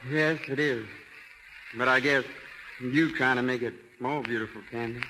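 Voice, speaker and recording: A man's voice: mid-range voice, moderate pace, lightly acted. A man playing an earnest young country suitor in a 1930s radio drama. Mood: shy, tender. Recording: faintly hissy 1930s radio recording.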